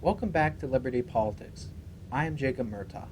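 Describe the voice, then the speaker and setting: A man's voice: medium pitch, fairly quick, a little mellow, a little hiss or hum, rough recording. A young man co-hosting a student interview show, reading a scripted intro and questions with occasional stumbles. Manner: stumbles while reading aloud. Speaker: co-host.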